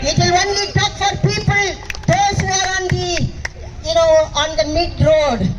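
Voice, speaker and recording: An older woman speaking Indian English, hoarse from shouting, giving a fiery, repetitive speech full of rhetorical questions at an outdoor protest rally. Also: extremely high pitch, normal speed. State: hoarse, lost voice. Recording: crowd noise at outdoor rally. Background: crowd and ambient noise.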